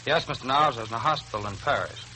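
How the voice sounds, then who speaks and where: An American man speaks as a sergeant guest in a vintage radio interview. He reads politely and quickly in a bright, forceful voice, with faint hiss on the old recording. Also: medium pitch, rough recording. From Florida, United States. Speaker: army sergeant, prize-winning guest.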